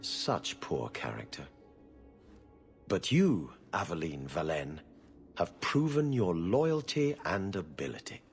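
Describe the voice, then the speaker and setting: A man playing a formal, measured city official in a fantasy game, pompous and emphatic in his delivery. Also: low-pitched, big pitch swings, husky, faint music behind, exaggerated voice. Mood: authoritative, approving. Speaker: government official. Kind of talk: dramatic game cutscene acting.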